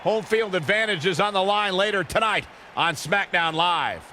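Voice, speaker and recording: An upbeat play-by-play wrestling commentator, pitched high. He announces the game's action in a polished, emphatic broadcast style, with arena noise clearly behind him. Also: moderate pace, pushed and bright. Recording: noisy arena ambience.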